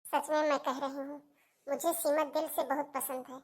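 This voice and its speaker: A woman acts out a motherly sister-in-law in a cartoon family drama. Her high voice is soft and gentle, and she persuades in an earnest, reassuring way. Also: level tone, moderate pace, natural voice. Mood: calm, earnest.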